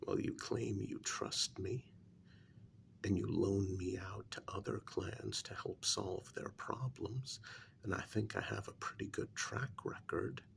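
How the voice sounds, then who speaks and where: Man playing a slow, gravelly, soft-spoken vampire's familiar. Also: fairly low pitch, steady tone, full character voice. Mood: calmly probing. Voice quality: soft, breathy, gravelly half-whisper. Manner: measured, deliberate, formal. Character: calm, meticulous, blunt human familiar to a vampire queen. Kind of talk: soft-spoken ASMR role-play.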